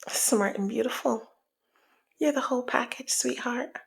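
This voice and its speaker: Woman doing a flirty mob boss lady for asmr roleplay, husky n whispery w lots of vocal fry, teasing, bossy, kinda menacing. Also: on the high side, unhurried, heavily acted. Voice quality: breathy, husky, often dropping to a whisper. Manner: teasing, sultry, slyly menacing. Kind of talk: ASMR roleplay audio drama.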